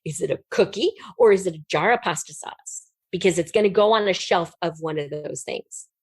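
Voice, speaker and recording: A middle-aged woman with a lowish voice talks as an interview guest. She is candid and emphatic, telling stories conversationally, and the sound is close and dry. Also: fairly quick, slightly rough, no echo. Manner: emphatic, candid, laughs readily. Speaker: interview guest, audio drama writer. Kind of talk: animated conversational storytelling in an interview.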